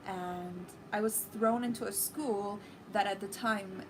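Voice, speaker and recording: A woman's voice: mid-range voice, steady tone, measured pace, background noise. A young woman whose first language is Farsi, hosting a livestream and chatting casually with her viewers, with many fillers. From Iran. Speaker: livestream host. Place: living room with a red sofa, indoors.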